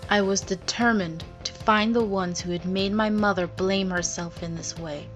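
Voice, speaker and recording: Game fandub role: woman as grave, resolute lady attorney, mid-pitched, measured narration over music. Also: fairly even intonation, a bit bright, natural voice. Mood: solemn, resolute. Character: solemn, determined female lawyer reading her written record. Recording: music behind the voice.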